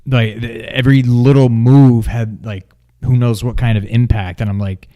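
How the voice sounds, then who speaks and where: A man co-hosting a movie review in a casual chat, with informal, halting phrasing. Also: medium pitch, flat delivery, dull audio. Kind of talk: casual chat, film discussion.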